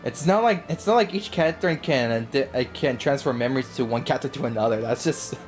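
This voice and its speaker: A man's voice: mid-range voice, quick pace, music in the background. A man live streaming a game, commenting casually and slangily, often stumbling over and repeating his phrases.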